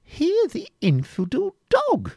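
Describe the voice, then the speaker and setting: A man's voice: extremely high pitch, huge pitch swings, slow pace, vocal fry, darkish tone, no echo, clean studio sound, heavily acted. A man voicing a pompous, indignant medieval prior who blusters scornfully and stammers when outraged.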